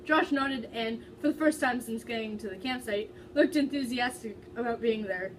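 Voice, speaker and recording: Higher-voiced woman reading aloud, off-mic. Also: normal speed. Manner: stumbles over words while reading. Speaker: amateur reader. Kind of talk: dramatic fan fiction reading, casual and giggly. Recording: distant mic, faint background noise.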